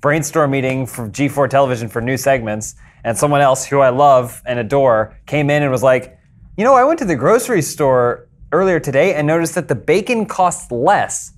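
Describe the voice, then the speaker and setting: A man on a podcast panel, telling stories with dry jokes in casual chat. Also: fairly high pitch. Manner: dry, joking storyteller.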